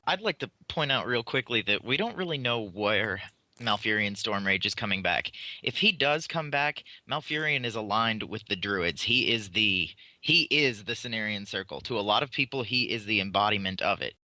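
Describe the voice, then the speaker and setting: A man on a podcast panel giving opinions in an emphatic, measured way, with rhetorical questions. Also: on the low side, expressive, sharp tone, dry recording.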